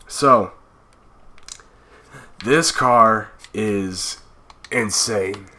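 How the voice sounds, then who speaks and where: A young man hosting a gaming channel talks through a driving session with a stuffy cold in his voice. He goes at an unhurried pace, his tone a touch low but bright and forward, loose and full of fillers. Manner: laid-back, rambling with fillers. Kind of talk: casual game commentary.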